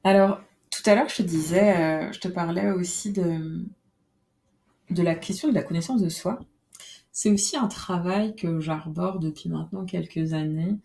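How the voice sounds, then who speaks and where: A woman hosting her own podcast alone, talking in a relaxed, reflective way with many fillers and restarts. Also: on the low side, moderate pace, gravelly. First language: French. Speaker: podcast host. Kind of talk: casual solo podcast monologue.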